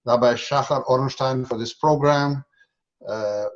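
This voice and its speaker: A man in his seventies from Romania and Israel, giving an introductory talk as guest speaker over a dull video call, with hesitant pauses. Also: medium pitch. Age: 70s.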